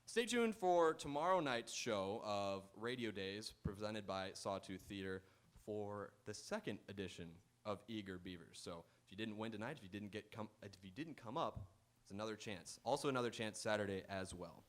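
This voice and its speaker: A man's voice: lowish voice, normal speed. A man hosting a live game show on stage, bantering playfully and reading announcements to the audience. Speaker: quiz show host. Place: auditorium stage.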